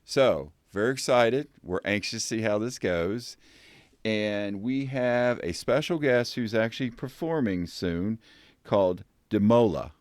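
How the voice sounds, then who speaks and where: A man's voice: on the low side, measured pace, no room sound, clean studio sound. A man hosting a tourism podcast over a video call, asking questions in a hesitant, self-correcting way. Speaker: podcast host.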